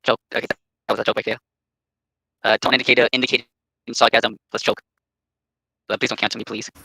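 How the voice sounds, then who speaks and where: Bright-voiced man with dry close mic. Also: medium pitch, little pitch movement, quick pace, vocal fry, husky, no echo. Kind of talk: casual chat on a group stream, reading aloud.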